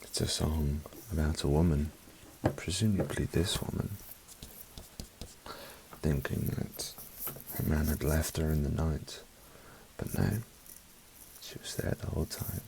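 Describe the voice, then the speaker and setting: A man from South Africa with a mixed British accent, giving an unhurried, soft-spoken ASMR album review in a half-whispered voice with wry asides, close to the mic. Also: very deep voice, fairly even intonation, creaky, a little hiss or hum, rough recording.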